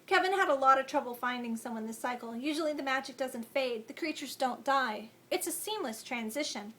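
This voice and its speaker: A woman voicing a gentle, grave young fae man in a storybook reading, earnest and reassuring. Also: high voice, animated, fairly quick, a little hiss or hum.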